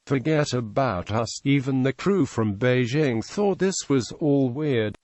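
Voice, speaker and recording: A man's voice reading an encyclopedia article aloud in a steady, even, synthetic-sounding way. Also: mid-range voice, dry recording. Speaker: narrator.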